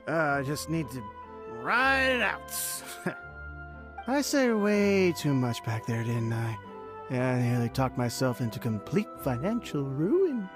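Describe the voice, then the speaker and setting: A man's voice: a little high, a bit bright. An actor playing a tipsy, rueful, nervous man who slurs, halts and trails off in a video game. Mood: tipsy, sheepish. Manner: halting, mumbling, trailing off. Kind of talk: English video game voice acting. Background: background music.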